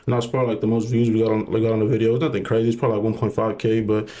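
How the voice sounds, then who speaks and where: Laid-back young man, low mellow voice. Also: little pitch movement, rapid-fire. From Southern California. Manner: laid-back slangy talk with many fillers and repeats. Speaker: rapper guest. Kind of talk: casual podcast interview.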